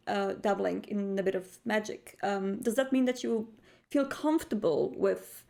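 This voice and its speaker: A woman's voice: medium pitch, gravelly, darkish tone. A woman improvising dialogue as a tabletop roleplay player, phrasing things politely and carefully, with a lot of hesitation.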